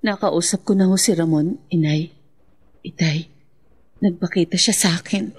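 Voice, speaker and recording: A woman's voice: lowish voice, moderate pace, darkish tone, soft background music, lightly acted. A woman with a Filipino accent playing a grieving, devout young widow in a radio drama, earnest and emotional. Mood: subdued.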